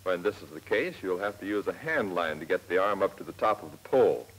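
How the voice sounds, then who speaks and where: Male American narrator, 1940s military instructional style. Slightly deep and husky, measured, plainly instructive, vintage film audio. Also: expressive, low-quality recording. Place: scripted voice-over for film. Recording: old 1940s film soundtrack, slightly rough.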